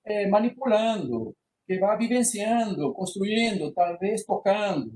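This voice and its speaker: A man's voice: high voice, expressive, measured pace, a little mellow, poor audio. A man, giving an online university lecture with many fillers and restarts, slipping into Portuguese words. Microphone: computer microphone on a video call.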